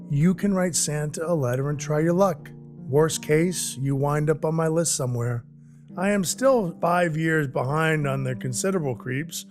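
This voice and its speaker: A man acting in an audio drama as an awkward, gruff but kindhearted Christmas dwarf who rambles earnestly and runs himself down. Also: on the high side, lightly acted. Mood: apologetic, coaxing. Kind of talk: audio drama acting with first-person narration. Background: music under the voice.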